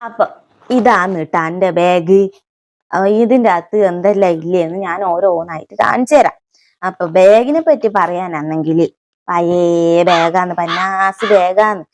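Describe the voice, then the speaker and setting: A woman's voice: lowish voice, unhurried, dry recording. A woman playing a homely middle-aged village auntie in a comic mock vlog, with a Kannur, north Kerala accent and a folksy, rambling way of addressing her viewers fondly. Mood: chatty, explaining. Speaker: comic vlogger character.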